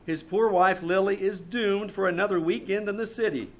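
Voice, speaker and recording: A man's voice: high voice, normal speed. A man announcing a scripted old-time radio show in a theatrical, showman-like manner. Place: small theater stage, live performance.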